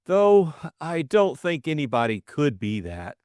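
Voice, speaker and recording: A man's voice: on the high side, very animated, unhurried, a little mellow, clean tone, no echo, studio quality, voice pushed up. A man voicing a flustered, lovestruck young Englishman, stammering and eager. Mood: earnest pleading.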